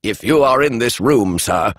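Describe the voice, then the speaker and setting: A man's voice: medium pitch, lively intonation, unhurried, a bit bright, no echo. A man reading the part of a formal elderly lawyer explaining the terms of a will. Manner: formal, precise. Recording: dry studio audiobook.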